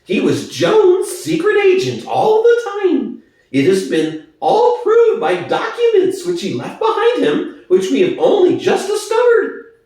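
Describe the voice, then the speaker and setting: A man voicing a sly, excitable propagandist pig in a storybook reading, with theatrical, insistent oratory. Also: very high-pitched, a little slow, gravelly, pitched above the performer's own voice, full character voice. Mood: accusing, insistent. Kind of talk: dramatic audiobook reading. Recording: echoey room, distant from mic.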